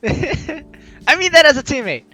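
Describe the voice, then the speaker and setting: Young gamer host reading out game dialogue, super animated, bright voice, bit of game music behind. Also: high-pitched, takes it slowly. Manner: excitable, playful, theatrical. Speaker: let's play host. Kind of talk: casual gameplay commentary with dramatic reading of dialogue. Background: faint game music.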